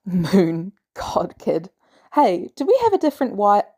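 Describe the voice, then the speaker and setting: A woman's voice: medium pitch, very animated, measured pace, a little mellow, slightly rough, exaggerated voice. A woman voicing a sarcastic, exasperated male inventor with a wry, informal manner in an audio story. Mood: exasperated, puzzled.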